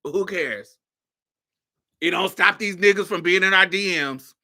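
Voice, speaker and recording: Sassy, bright-voiced woman host. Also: on the low side, measured pace. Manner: sassy and blunt, swears freely, laughs often. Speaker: podcast host. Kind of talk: casual commentary monologue.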